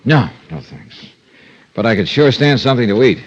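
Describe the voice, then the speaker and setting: An actor playing a wry, cool-headed investigator in a 1950s radio crime drama. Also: male voice, medium pitch, lively intonation, moderate pace, lightly acted. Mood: friendly, probing. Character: hard-boiled, wry, cool-headed male insurance investigator. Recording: muffled old radio recording, music underneath.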